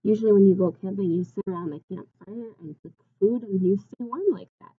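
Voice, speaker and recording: A woman's voice: mid-range voice, fairly quick, slight background noise. Young woman reading to kids, dull audio. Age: 20s. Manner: expressive, simple explanations addressed to children. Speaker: teacher reading aloud to children. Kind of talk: picture-book read-aloud with asides and questions to young children. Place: small classroom, indoors.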